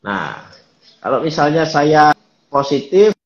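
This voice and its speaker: A man calmly explaining things as a guest in a live online chat, with many fillers. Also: on the high side, takes it slowly, echoey room, highs cut off. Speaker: guest speaker.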